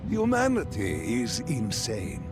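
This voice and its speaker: An actor playing a sinister, grandiose male doctor in a video game, speaking in a deliberate, condescending, theatrical way. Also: mid-range voice, huge pitch swings, music in the background. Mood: fervent, indignant. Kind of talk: dramatic video game acting.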